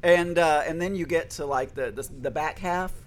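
A man in his forties with a Southern accent, hosting a casual podcast, chatty and animated, laughing often and restarting his sentences. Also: fairly high pitch, moderate pace. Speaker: podcast host. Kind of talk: casual chat.

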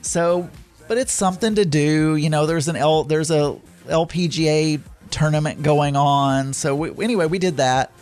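Rambling Southern man, slightly high voice. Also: normal speed, a little mellow, soft background music. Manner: rambling, self-correcting storytelling with frequent fillers. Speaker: podcast host. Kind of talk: casual chat.

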